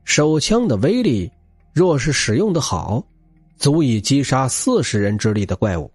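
A man's voice: medium pitch, sing-song, normal speed, husky, no echo, clean studio sound, faint music behind. A man reading a novel aloud as a dramatic scripted narration. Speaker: audiobook narrator.

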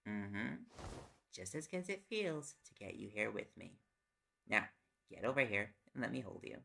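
Man acting a very creaky-voiced affectionate reporter boyfriend. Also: fairly low pitch, background noise, full character voice. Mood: tender, playful. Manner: playful, coaxing, rambling when on a topic. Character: tired, affectionate, teasing young male reporter boyfriend. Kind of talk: intimate audio roleplay, sleep-aid acting.

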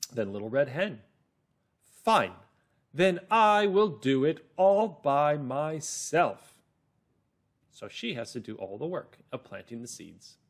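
A middle-aged man teaching children through a read-aloud story, deliberate, explanatory and expressive. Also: medium pitch. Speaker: reading teacher.

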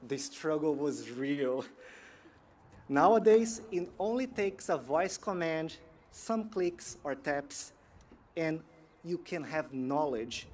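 Man, 30s, measured earnest speech, podium mic, outdoor ceremony, faint noise. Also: fairly high pitch, a little mellow. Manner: measured, earnest delivery with pauses. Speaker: graduation keynote speaker. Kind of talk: prepared commencement speech. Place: outdoor sports field ceremony. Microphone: podium microphone.

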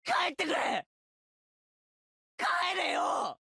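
A woman playing a desperate, panicked teenage boy in an anime visual novel, with a raspy, strained voice. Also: sits high, big pitch swings, slow, noisy background, full character voice.

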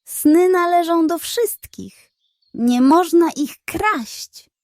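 A woman's voice: very high-pitched, expressive, drawn-out words, darkish tone, no echo, clean studio sound, voice pushed up, heavily acted. A woman voicing a small, brave and honest male kitten in a children's storybook, earnest and wide-eyed. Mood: earnest, firm.

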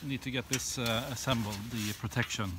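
A middle-aged man casually demonstrating outdoor gear in a halting, unscripted way, recorded on a camera in a tent and snowy woods. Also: medium pitch, fairly even intonation. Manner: hesitant, halting step-by-step explaining. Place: snowy forest and inside a tent. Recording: clearly noisy camera audio with clicks.

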